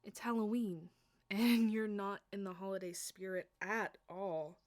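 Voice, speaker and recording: Soft-spoken teasing woman, slow and close. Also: medium pitch, fairly even intonation, no room sound. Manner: playful, teasing, often whispering close to the mic. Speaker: voice actress performing an audio roleplay. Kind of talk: flirty ASMR roleplay.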